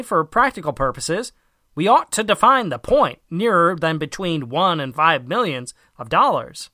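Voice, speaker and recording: A man reading a formal essay as an audiobook narrator, with a measured, emphatic delivery. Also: on the high side, bright, forceful tone, no room sound, clean studio sound. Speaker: audiobook reader.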